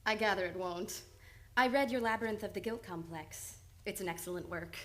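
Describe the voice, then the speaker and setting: A woman playing a young, earnest psychiatrist with formal, measured speech in an old-time radio play. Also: medium pitch, natural voice. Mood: polite. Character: young, reserved, earnest female psychiatrist, precise and clinical.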